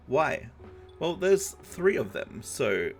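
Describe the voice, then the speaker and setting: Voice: mid-range voice, very animated, slow pace, gravelly, faint music behind. Someone chatting casually and jokingly as the host of a group discussion, using playful gamer slang.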